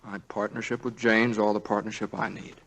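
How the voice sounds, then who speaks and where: A man's voice: lowish voice, little pitch movement, a little fast, fairly bright tone, natural voice, rough recording. A man with an American accent playing a devoted young lawyer husband in a 1940s radio drama, earnest and hesitant, stammering when flustered. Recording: vintage 1940s radio broadcast, orchestral music.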